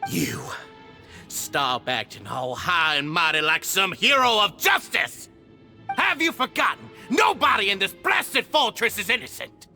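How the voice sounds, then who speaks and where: An actor voicing an arrogant, cruel male prison overseer in a video game, sneering and shouting in bursts. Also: sits high, gravelly, exaggerated voice. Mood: ranting.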